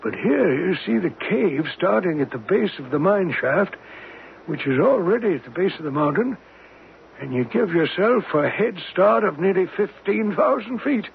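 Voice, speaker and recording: An actor playing a scholarly older professor in a 1970s radio drama, speaking with a hesitant, deliberate manner. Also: male voice, high voice, lively intonation, creaky. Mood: absorbed, explaining. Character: scholarly older male professor, absent-minded yet eager. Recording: noisy, tinny old radio broadcast.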